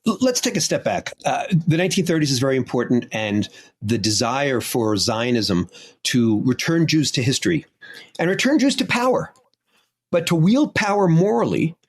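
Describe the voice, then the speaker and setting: A man's voice: medium pitch, big pitch swings, normal speed, slightly rough. A middle-aged man, a historian, answering an interview question in an emphatic, impassioned way. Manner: emphatic, impassioned, lists points. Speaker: historian guest.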